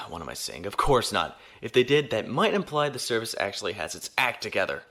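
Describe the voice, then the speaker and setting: An actor playing a dutiful young naval officer who talks with wry, sardonic asides. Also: male voice, lowish voice, big pitch swings, fairly quick, a bit bright. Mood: tense.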